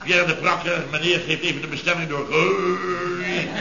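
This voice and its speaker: Blustering, self-important boss, echoey old radio. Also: male voice, fairly high pitch, steady tone, normal speed, vocal fry, crowd noise. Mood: indignant, blustering. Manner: exasperated, pompous, emphatic. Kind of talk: 1970s Dutch radio comedy acting. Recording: muffled, echoey old radio recording with audience.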